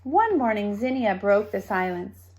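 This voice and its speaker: A woman reading a children's story aloud with expressive narration and dramatic, deliberate phrasing. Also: mid-range voice, slight background noise. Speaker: storybook reader.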